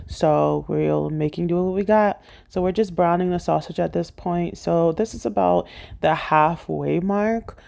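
A woman's voice: lowish voice, measured pace, creaky, dark tone. A woman giving a casual, conversational voice-over as a home cooking vlogger, explaining things step by step.

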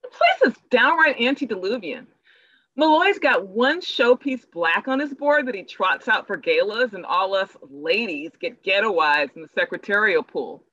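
A woman's voice: mid-range voice, lively intonation, normal speed, fairly bright tone, highs cut off. A woman playing an earnest, forward church organizer in an online play reading over a video call. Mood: friendly, forward. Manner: eager, proselytizing. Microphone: video call microphone.

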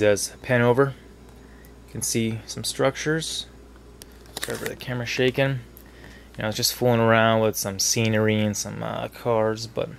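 Young male hobbyist, stuffy-nosed, low gravelly voice, loose rambling delivery, camera mic in a basement. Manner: rambling, many fillers. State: congested from a cold. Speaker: model railroad hobbyist. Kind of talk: casual hobby video narration.

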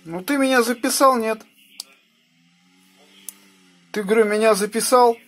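A man's voice: extremely high pitch, fast. A man talking casually as an amateur radio operator, indoors in a small room. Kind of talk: casual ham radio chat.

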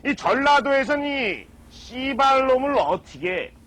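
A man on a comedy stage giving a mock lecture, drawing out his words slowly for emphasis. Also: extremely high pitch, big pitch swings, a bit bright. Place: stage with live audience.

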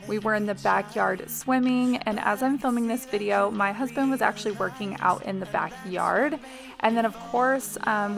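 A woman's voice: mid-range voice, gravelly. A woman in her thirties, a mother and home vlogger, chatting through a cleaning video voice-over in a confiding, casual way with little ums.

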